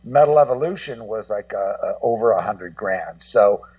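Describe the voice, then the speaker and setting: A man's voice: on the low side, lively intonation, darkish tone, no echo. California guy just chatting, repeats himself, trails around, blunt, kinda muffled and off the mic a bit. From Los Angeles, United States. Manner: rambling, halting, repeats words, blunt and profane. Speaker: guest co-host, documentary maker. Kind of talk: casual interview chat. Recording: dull, muffled, slightly distant sound.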